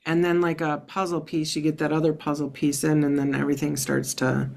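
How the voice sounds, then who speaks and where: Low-pitched woman, casual personal sharing. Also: little pitch movement, brisk, gravelly, a little mellow. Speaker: webinar participant.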